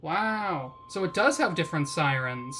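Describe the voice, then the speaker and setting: A woman's voice: low-pitched, expressive, a little slow, background noise. A woman hosting a casual product review, chatting in a wry, slangy and easily amused way. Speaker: product reviewer, vlog host.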